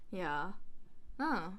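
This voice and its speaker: A woman's voice: fairly high pitch, vocal fry, a little hiss or hum. A woman co-host chatting casually on a read-along podcast in a giggly, rambling way. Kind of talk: casual reaction chat.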